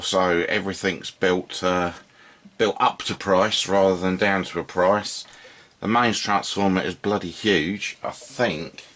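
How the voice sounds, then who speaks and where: A man's voice: low voice, fairly bright tone. A man giving a casual, unscripted hands-on demonstration, understated and hesitant as he thinks aloud. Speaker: host of a hobby radio repair video. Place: indoors at a workbench.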